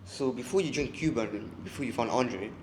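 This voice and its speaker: A man hosting a podcast who asks quick, chatty questions in a casual interview. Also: medium pitch. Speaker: podcast host. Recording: roomy with long reverb, faint noise.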